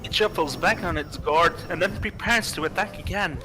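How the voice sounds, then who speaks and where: A man with a somewhat high voice narrates a horror visual novel for a stream. He slips between reading the text and chatting casually, while music plays clearly behind him. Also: moderate pace. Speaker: livestreamer playing a horror game.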